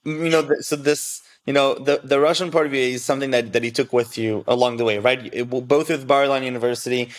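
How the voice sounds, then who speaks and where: A man's voice: mid-range voice, fairly even intonation, quick pace, few pauses. A man hosting a casual interview, eager and encouraging, asking follow-up questions. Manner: enthusiastic, asks follow-up questions. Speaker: interview host.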